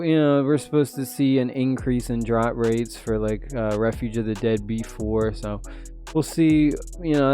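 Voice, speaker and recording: A man's voice: medium pitch, a little mellow. A man hosting a mobile gaming channel, still sick and losing his voice a little, rambling casually with lots of fillers. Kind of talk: casual commentary on a mobile game update.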